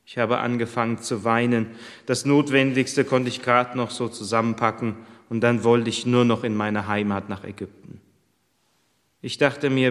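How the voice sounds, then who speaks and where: A man's voice: mid-range voice, big-room sound, natural voice. A man voicing a desperate pregnant young servant woman, telling her story in heartfelt first person.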